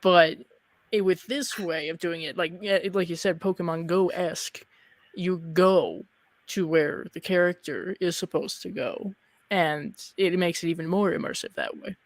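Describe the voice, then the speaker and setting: A man interviews guests in a casual, conversational style. His delivery is halting as he forms his thoughts, and the sound is very dry, with no room echo. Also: sits high, steady tone. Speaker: interviewer and host.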